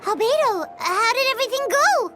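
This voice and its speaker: A woman voicing a tiny, curious childlike companion in a video game, with a squeaky, exclamatory delivery. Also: animated, fairly quick, noisy background. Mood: curious, eager. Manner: inquisitive, exclamatory. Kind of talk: scripted video game dialogue. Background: prominent background music.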